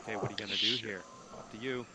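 A man voicing calm, measured commentary for a golf video game. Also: fairly low pitch, very noisy.

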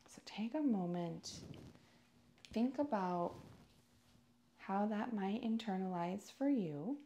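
Female meditation guide: very unhurried, soothing delivery, noticeable vocal fry, deliberate phrasing. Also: mid-range voice, animated, dark tone, slight background noise. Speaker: yoga and meditation teacher.

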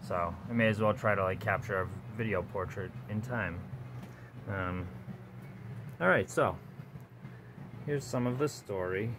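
A man's voice: on the low side, a little slow, pauses often, background noise. A man giving a loose, profane, rambling tour of his cluttered basement workshop, filming with a handheld phone. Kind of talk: casual rambling walkthrough. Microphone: handheld phone camera, speaker off-screen.

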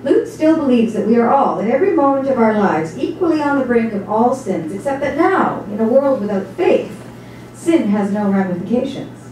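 A woman's voice: fairly high pitch, animated, normal speed, a little mellow. A woman narrating a literary text in a dramatic stage reading. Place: small indoor theatre stage before an audience. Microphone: distant camera microphone. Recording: echoey hall, distant microphone, faint noise.

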